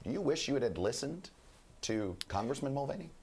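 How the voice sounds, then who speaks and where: A man anchoring a news broadcast and interviewing a guest, speaking with a rough, hoarse voice while under the weather. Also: medium pitch, sing-song, a little mellow, slight background noise. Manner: measured, formal newsreader delivery.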